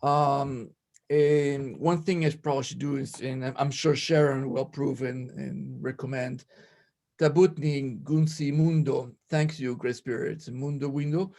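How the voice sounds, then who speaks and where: A man in his sixties from Venezuela, giving a halting conference talk over a video call. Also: mid-range voice, creaky, a little mellow. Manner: halting, many fillers and restarts. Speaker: conference presenter, ethnobotanist. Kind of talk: academic slide presentation.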